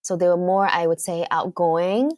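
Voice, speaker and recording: A woman with a Canadian accent, a guest in a casual interview. She speaks in a lowish voice, pausing with fillers as she thinks aloud. The recording is dry and close. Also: fairly even intonation, darkish tone, no room sound. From United Kingdom. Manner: thoughtful, hesitant, frequent fillers.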